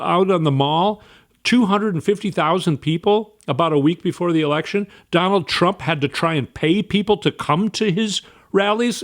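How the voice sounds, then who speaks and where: A man gives casual political commentary in a fairly high voice. He talks in an animated, emphatic way and sounds exasperated.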